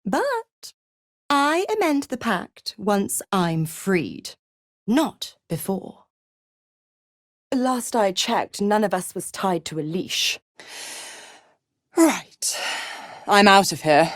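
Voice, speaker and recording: Smug seductive she-devil for a game, slow n savoring, swoops all over, cruel and condescending. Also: medium pitch, sing-song, dry recording, studio quality. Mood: smug, teasing. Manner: taunting, condescending, savoring each word. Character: smug, seductive, menacing female devil, cruel mistress of pacts. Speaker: video game character voice. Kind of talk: theatrical game voice acting.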